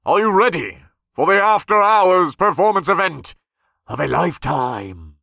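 A man voicing a big-bellied old circus ringmaster in an audiobook, loud and theatrical, announcing with dramatic pauses. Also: high voice, very animated, gravelly, no room sound, studio quality, voice pushed up, full character voice. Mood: showy, booming.

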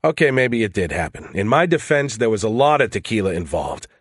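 Man acting wry, low-voiced, easygoing soldier. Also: big pitch swings, a little fast, no room sound, clean studio sound. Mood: sheepish, defensive.

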